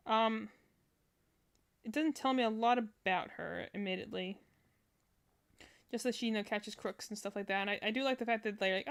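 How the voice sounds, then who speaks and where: A woman's voice: fairly high pitch, smooth. A woman hosting a casual reaction livestream, thinking aloud in a rambling way. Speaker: streamer, reaction host.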